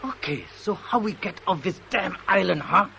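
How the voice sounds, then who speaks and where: An actor playing an agitated, sceptical fugitive, blunt and slangy, in a 1970s radio thriller. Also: male voice, sits high, animated, moderate pace, gravelly, sharp tone, heavily acted. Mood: sceptical, exasperated. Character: agitated, sceptical male fugitive, cocky and exasperated. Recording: noisy old radio drama recording.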